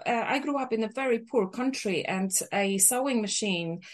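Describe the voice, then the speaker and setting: A woman's voice: a little high, moderate pace, a little hiss or hum. A woman from Poland with a Polish accent, answering interview questions thoughtfully with frequent hesitations. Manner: thoughtful, hesitant, many uhs. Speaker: guest, sewing teacher.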